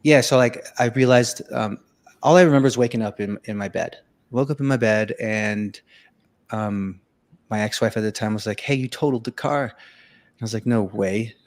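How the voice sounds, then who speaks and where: A man in his thirties with a lowish voice, talking through his story on a video call. He rambles, restarts and fills pauses with "um", in an easy, personal way. His tone stays low and unhurried. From Venezuela. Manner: rambling, many fillers and restarts. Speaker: guest, filmmaker and musician. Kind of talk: casual storytelling interview.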